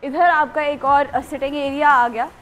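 A young woman with a high voice presents a property tour. She talks conversationally and directly to the viewer, dropping in English words. Music plays behind her. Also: fairly even intonation, normal speed, a bit bright. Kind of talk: chatty presenter-style house tour mixing in English words. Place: large house, its covered poolside and garden.

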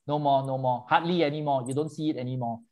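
A man's voice: medium pitch, fairly even intonation, quick pace, warm tone. A man hosting a virtual tour for seniors, chatting animatedly and switching between English and Mandarin. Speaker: host.

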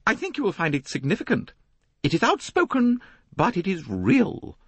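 A man doing a high, pompous older lady novelist. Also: huge pitch swings, moderate pace, slightly rough, no echo, full character voice. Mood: grandiose. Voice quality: high, complaining voice. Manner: theatrical, self-important, emphatic. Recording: dry, close audiobook recording.

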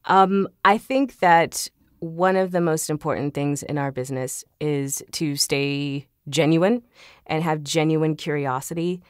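A woman's voice: low-pitched, unhurried, smooth, dry recording, clean studio sound. A woman speaking casually as an interview guest, chatty and earnest, often with a chuckle in her talk. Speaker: podcast guest, voice actor.

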